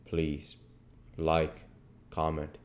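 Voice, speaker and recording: A man narrating a classic storybook as a reader, in measured phrases. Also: a bass voice, completely flat delivery. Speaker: audiobook reader and channel host.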